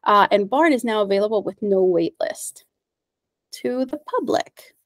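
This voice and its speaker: A woman's voice: medium pitch, expressive, a little slow, dry recording, studio quality. A woman whose first language is Spanish, presenting at an online meetup. She is upbeat and friendly, with frequent fillers and small laughs. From United States. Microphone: video call.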